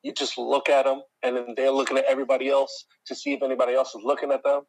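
Man, deep and a bit husky voice, exasperated rant, casual chat to listeners. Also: level tone, very fast, low-quality recording. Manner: exasperated ranting storyteller, talks to listeners.

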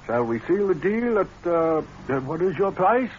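Generous old rich man, genial, halting, animated higher voice, muffled vintage radio recording. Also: slight background noise. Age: older. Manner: hesitant fillers, genial, insistent. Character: hearty, generous, insistent elderly male millionaire ex-miner. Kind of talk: 1940s radio drama acting.

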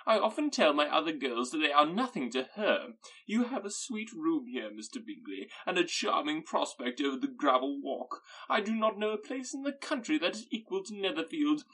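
A man voicing a fussy, excitable middle-aged mother, shrill and gushing, in an audiobook. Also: moderate pace, heavily acted.

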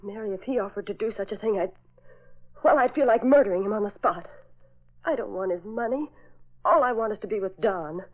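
A woman's voice: medium pitch, fairly quick, lightly acted. A woman acting a proud, impassioned young showgirl in an old-time radio drama. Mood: indignant, resolute. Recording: tinny band-limited vintage radio recording.

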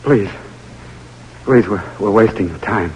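An actor playing a weak, dazed American army lieutenant in an old radio drama, halting and weary. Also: male voice, fairly low pitch. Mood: dazed, pleading. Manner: halting, weary, repeating words. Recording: noisy, humming vintage radio recording.

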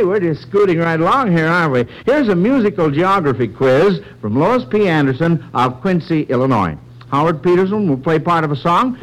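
A man's voice: on the high side, poor audio. An American man hosting a 1940s radio quiz, calling on child contestants by name with folksy asides. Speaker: quiz show host. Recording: vintage 1940s radio broadcast.

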